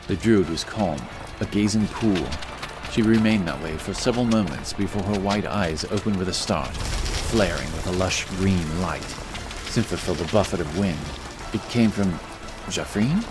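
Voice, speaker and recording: A man reading scripted fantasy narration dramatically, with music playing behind him. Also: deep voice.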